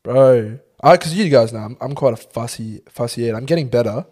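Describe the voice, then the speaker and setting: Young man, mid-range pitch, animated, sweary storyteller. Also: a little fast. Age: young adult. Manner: animated, sweary, says "bro". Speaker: podcast co-host. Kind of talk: casual storytelling chat.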